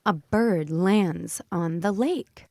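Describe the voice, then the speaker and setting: Slow-paced, low-voiced woman reading to children. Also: warm tone, a little hiss or hum. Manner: simple, deliberate wording, instructing young readers. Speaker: children's read-aloud host and narrator. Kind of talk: slow phonics storybook read-aloud for young children.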